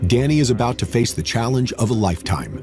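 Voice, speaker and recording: A man's voice: medium pitch, very animated, fast talker, over music. A man reading scripted documentary narration.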